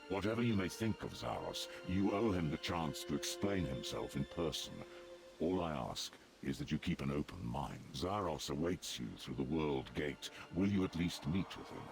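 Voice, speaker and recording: An actor playing a solemn, devout ancient servant of a dark god in a fantasy video game, speaking formally and gravely. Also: male voice, lively intonation, exaggerated voice. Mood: solemn, persuasive. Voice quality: very deep, gravelly. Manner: formal, grave, measured and declarative. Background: music.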